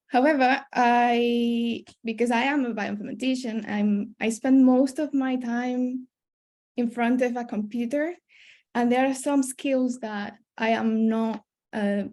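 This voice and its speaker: The young woman on an online careers panel, voice on the high side, explaining her work to students. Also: a little mellow. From born in Colombia, raised in Spain. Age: young adult. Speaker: PhD student and science communicator, panelist. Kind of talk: self-introduction at a webinar. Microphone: video call.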